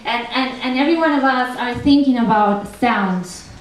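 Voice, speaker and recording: A woman's voice: a little high, moderate pace. A young woman from Argentina with a thick Argentinian Spanish accent, a native Spanish speaker talking casually on a translation panel and reading a poem aloud, with many ums and restarts. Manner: chatty, frequent ums and restarts. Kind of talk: panel talk with poetry reading. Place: festival event room. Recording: distant echoey room, faint noise.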